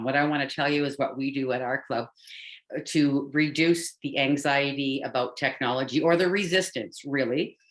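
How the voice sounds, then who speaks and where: Low-voiced woman on a video call. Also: a bit bright. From Canada. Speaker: club member. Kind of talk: answering in a virtual meeting.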